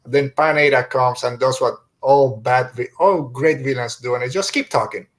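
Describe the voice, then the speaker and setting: A man's voice: mid-range voice, moderate pace, a little hiss or hum. Man chatting casually on a podcast. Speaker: podcast host. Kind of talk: casual podcast review discussion.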